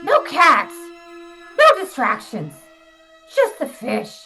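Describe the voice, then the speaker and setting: A woman playing a frantic, guilt-ridden old mother in a halting, dramatic read for a live comedy script show. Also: high voice, wildly swooping pitch, bright, forceful tone, soft background music, full character voice. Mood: alarmed, accusing. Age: older.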